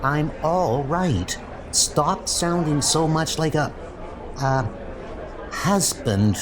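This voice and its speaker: A man's voice: fairly high pitch, animated, extremely slow, noisy background. A man voicing a breezy, teasing young comic book heroine. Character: vain, playful young woman, comic book superheroine.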